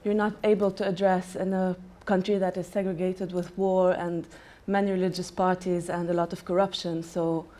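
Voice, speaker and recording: A woman's voice: fairly low pitch, flat delivery, moderate pace, few pauses, mellow. A woman, a playwright, speaking earnestly on a panel. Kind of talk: panel talk.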